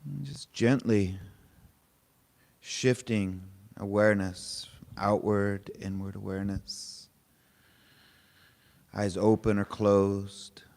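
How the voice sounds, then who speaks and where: A man leading a guided meditation, speaking in short, unhurried, gently informal phrases with pauses between them. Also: on the low side, steady tone, vocal fry. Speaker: meditation teacher. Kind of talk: guided meditation, spoken softly to a group.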